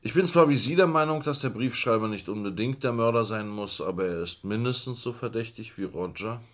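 An actor playing a guarded, dignified male suspect in a 1970s radio crime play, speaking in a formal, measured and argumentative way. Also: mid-range voice, close to an everyday voice. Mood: coolly argumentative.

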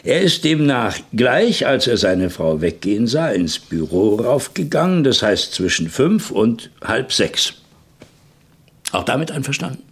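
An actor playing a shrewd police commissioner in a German radio crime play, deliberate and musing, then pointed in his questions. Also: mid-range voice, very animated, slightly rough, full character voice. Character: shrewd male French police commissioner, boss of the investigation.

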